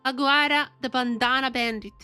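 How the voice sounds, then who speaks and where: A woman's voice: high voice, steady tone, normal speed, bright, forceful tone, clean tone, soft background music. A woman doing casual live game commentary for her chat, repeating phrases as she thinks aloud, her voice a little worn from a cold. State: getting over a cold, voice wearing out. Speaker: game streamer.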